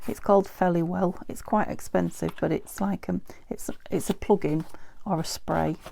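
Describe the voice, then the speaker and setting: A British woman hosting a crafting vlog, croaky from a cold, chatting and muttering to herself as she works. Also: fairly low pitch, fairly even intonation, moderate pace, dark tone. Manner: muttering to herself while working, often dropping to a whisper. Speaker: crafting channel host.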